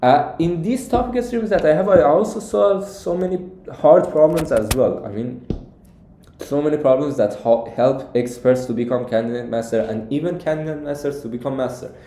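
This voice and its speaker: A young man from Iran with an Iranian accent answers viewers' questions casually on a live stream as a coach, often repeating words haltingly. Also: fairly high pitch, mellow. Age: young adult. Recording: echoey, roomy sound.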